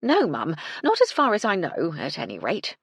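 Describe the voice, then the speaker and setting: A bustling, fretful older housekeeper, as a woman narrator voices her. She talks in a flustered, deferential rush, her pitch lively and swinging. The tone is slightly husky and close, with a dry sound. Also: moderate pace, no echo, heavily acted. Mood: worried.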